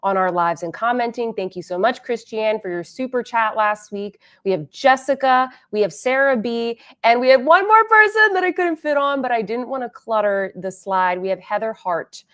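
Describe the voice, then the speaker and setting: A woman's voice: on the high side, expressive, moderate pace, hardly any pauses, a bit bright. Woman, beauty livestream host, casual chat, slightly congested, reads out comments. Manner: chatty, reads viewer comments aloud. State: congested, a little sniffly.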